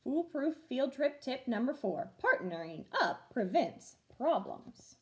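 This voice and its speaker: Woman, storybook read-aloud, highish voice, animated melody, expressive, teacherly. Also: slow pace. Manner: expressive, clearly paced for children. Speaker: first grade teacher reading stories aloud.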